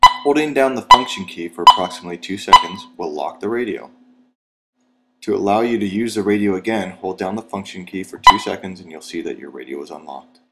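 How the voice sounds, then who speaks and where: A man reading scripted instructional narration for a training video in a measured, step-by-step, plain manner. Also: sits low, heavy background noise.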